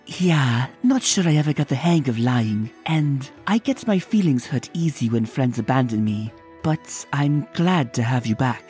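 A woman's voice: extremely low pitch, animated, unhurried, hardly any pauses, dark tone. A woman voicing a frank, tender-hearted female zebra in a cartoon comic dub, casual in manner. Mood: sincere. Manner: casual, coaxing. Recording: music behind the voice.

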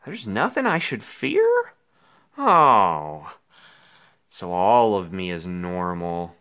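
A man reading a rhyming children's story aloud theatrically, with dramatic pauses, as an anxious, melodramatic five-year-old boy. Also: sits low, huge pitch swings, sharp tone. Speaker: picture book reader.

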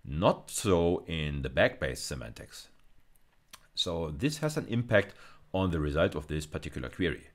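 A man's voice: low voice, sing-song, normal speed. A middle-aged man giving an explanatory screencast lecture in an informal way, thinking aloud and correcting himself. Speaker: university lecturer. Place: indoors, recorded screencast with camera inset.

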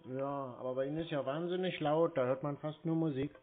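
A man arguing casually on a phone call in a curt, provocative deadpan. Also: a little high, fairly quick. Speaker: prank call recipient baiting scam callers. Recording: tinny phone-like call audio.

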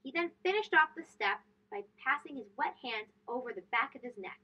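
Woman, children's read-aloud, high-pitched, expressive storyteller, swooping intonation, slightly muffled recording. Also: brisk. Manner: expressive, storytelling delivery with emphasis on key words. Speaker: storybook reader and host. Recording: dull lo-fi sound.

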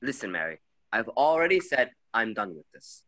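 A man's voice: mid-range voice, big pitch swings, moderate pace, sharp tone, no echo. A young man acting in a radio play as a harried, exasperated governor on the defensive. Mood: pleading. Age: young adult. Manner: exasperated, emphatic.

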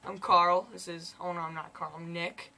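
A man's voice: high-pitched, little pitch movement, moderate pace, gravelly, a crowd in the background. A teenage boy chatting casually to a home camera in a bedroom as host of an amateur show. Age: teens. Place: small bedroom at night. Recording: noisy home camcorder recording.

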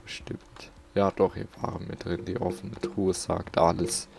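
A man's voice: low-pitched, flat delivery, unhurried, vocal fry, noisy background. A man giving casual gameplay commentary as a let's play host, muttering to himself.

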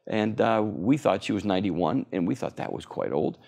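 A man's voice: on the low side, lively intonation, moderate pace, vocal fry, a little mellow. A man in his sixties, an oral surgeon, being interviewed on a TV set, explaining eagerly with many fillers. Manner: enthusiastic, explanatory, frequent fillers. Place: TV studio.

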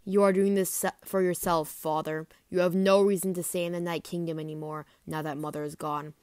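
A woman's voice: on the low side, level tone, brisk, darkish tone, smooth, dry recording, close to an everyday voice. Woman as a young male dragon, cold, stern, accusing, dry sound, fantasy drama.

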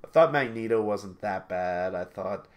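A man's voice: lowish voice, normal speed. Rambling sniffly man on a video call. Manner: rambling, self-correcting, laughs easily. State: sniffly from allergies. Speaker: game reviewer co-host. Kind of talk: casual video call chat. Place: small home room. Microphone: headset on a video call.

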